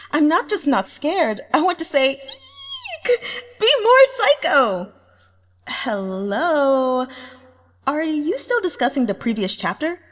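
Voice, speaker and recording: A woman's voice: high voice, a bit bright, long reverb tail, pitched above the performer's own voice, full character voice. A woman voicing gushing young female readers of an online novel, exclaiming and gossiping in an audiobook. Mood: giddy.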